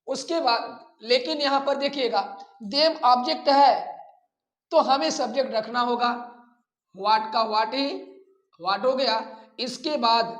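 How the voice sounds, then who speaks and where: A man teaching a grammar lesson, explaining step by step in a deliberate, repetitive way. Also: very high voice, fairly bright tone. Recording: echoey room.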